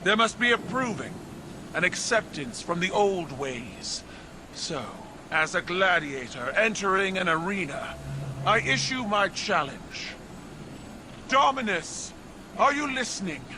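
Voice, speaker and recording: An actress playing a proud alien warrior empress in a video game, commanding, formal and defiant. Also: female voice, mid-range voice, expressive, unhurried, noisy background, lightly acted. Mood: defiant, challenging. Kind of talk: video game dramatic dialogue.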